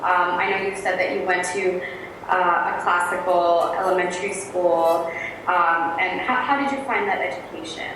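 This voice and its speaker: A woman's voice: fairly low pitch, steady tone, moderate pace. A woman interviewer asking questions in a friendly, chatty casual style, with frequent fillers. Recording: very echoey, distant, noisy room.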